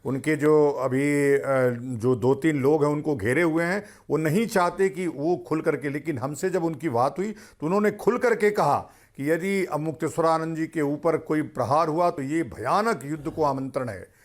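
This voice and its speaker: A male religious panelist on a TV debate, explaining in a measured way. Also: a little high.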